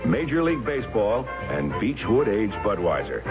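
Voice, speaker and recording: A man's voice: on the low side, very animated, normal speed. A man narrating a scripted 1970s radio advertisement as a commercial announcer. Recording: muffled old radio, music behind.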